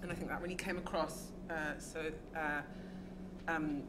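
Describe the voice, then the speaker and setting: A woman giving discussant remarks on a lecture stage, speaking hesitantly with many pauses and fillers. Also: deep voice, fairly even intonation. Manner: hesitant, many ums, warm thanks. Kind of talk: academic panel remarks. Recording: lecture hall audio with background noise.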